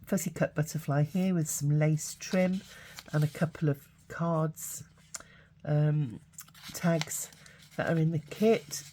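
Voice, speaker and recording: Hoarse low-voiced woman, chatty. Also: fairly even intonation, dark tone, slight background noise. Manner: chatty, halting, many fillers. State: hoarse from a cold. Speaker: craft channel host. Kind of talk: casual craft flip-through.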